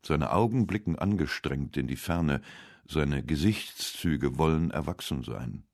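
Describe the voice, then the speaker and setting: Very deep-voiced man narrating. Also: expressive, creaky, a little mellow, dry recording, studio quality. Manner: measured, literary reading. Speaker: radio essay narrator. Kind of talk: scripted narration.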